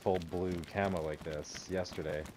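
A man's voice: deep voice, steady tone, normal speed, a little mellow, slight background noise. A man in casual game chat with friends, hesitant, often restarting his sentences and laughing. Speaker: gamer, rival clan member.

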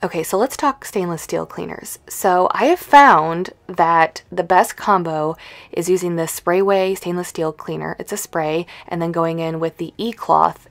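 A woman's voice: fairly low pitch, gravelly. An American woman in her thirties chatting casually to viewers and explaining things in an informal way. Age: 30s. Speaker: home and cleaning vlogger. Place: indoors in a house.

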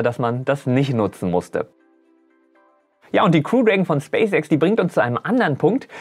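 A man, hosting a spaceflight explainer in a chatty way, addressing viewers directly with casual asides. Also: mid-range voice. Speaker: host of a spaceflight explainer channel. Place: small indoor studio set. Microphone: lapel mic.

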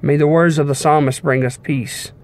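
A young man giving an informal devotional sermon in an earnest, measured way, pausing between short phrases. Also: fairly high pitch, fairly even intonation, darkish tone. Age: young adult. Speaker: preacher giving a devotional message. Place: inside a car. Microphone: phone at arm's length. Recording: clear background noise inside a car.

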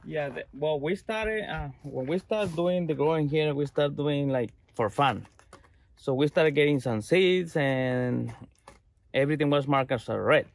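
Halting, creaky-voiced man, slightly high. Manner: halting, non-native English phrasing. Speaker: fruit grower being interviewed. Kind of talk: casual interview answers. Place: outdoors on a sunny farm.

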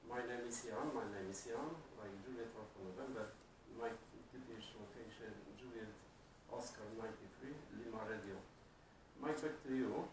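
A man in his fifties with a Polish accent, speaking halting, repetitive English as an amateur radio operator making a contact. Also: mid-range voice. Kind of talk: ham radio contact, spelling call signs phonetically. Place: living room, indoors. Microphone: camera placed across the room. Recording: echoey room, distant mic, clear background noise.

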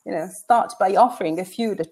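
A middle-aged woman with an Italian accent talks through a headset on a video call. She is earnest and reflective, often repeats words as she searches for the right phrasing, and has a mid-range pitch. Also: fast, darkish tone. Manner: earnest, thoughtful, many restarts. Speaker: conference speaker. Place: small bright room indoors.

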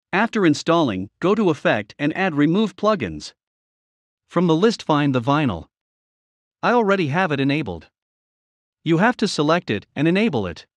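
A man narrating a step-by-step software tutorial in a plain, measured instructional manner. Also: fairly high pitch, no room sound.

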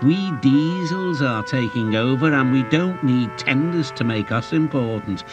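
A man's voice: mid-range voice, expressive, measured pace, highs cut off, natural voice. A boastful diesel engine, played by a man, sly and oily in manner. It is a children's storybook villain with smug menace and music behind.

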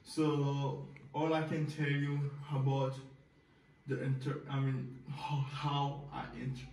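A young man with a Haitian Creole accent talks through his job application, halting and repeating himself and tossing in "you know what I'm saying". His voice is fairly flat in pitch. It is recorded from across a small, echoey bedroom, so it sounds distant and roomy. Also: slight background noise. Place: small bedroom with a desk and computer, indoors. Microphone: far camera, filmed from the side. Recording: echoey room, voice from afar.

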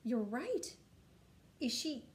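A woman voicing a doting storybook queen with a dramatic, breathy, drawn-out delivery. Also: very high-pitched, very animated, a little hiss or hum, voice pushed up. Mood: astonished. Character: doting, gasping storybook queen, astonished mother.

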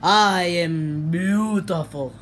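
A man's voice: sits high, very slow, fairly bright tone, slight background noise, exaggerated voice. A man voicing a big pink plush troll who claims to be Russian, speaking in a mock Russian accent with pompous, broken grammar, recorded on a phone in a bedroom. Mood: boastful.

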